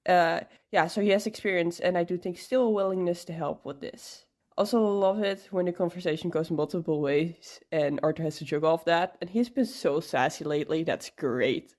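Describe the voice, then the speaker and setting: Женщина, непринуждённый комментарий слушательницы. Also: female voice, on the low side, moderate pace, darkish tone. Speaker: listener sending a voice submission. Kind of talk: casual fan commentary.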